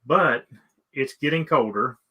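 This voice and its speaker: A man hosting a casual interview, speaking haltingly and often repeating his words as he goes. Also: medium pitch, bright, forceful tone, husky. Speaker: live stream host interviewing a guest.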